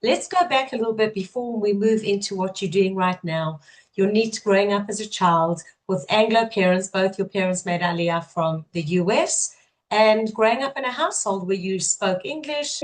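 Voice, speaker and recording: A woman's voice: medium pitch, normal speed, low-quality recording. A middle-aged woman hosting an interview podcast, asking long, winding questions in a friendly way. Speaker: podcast host.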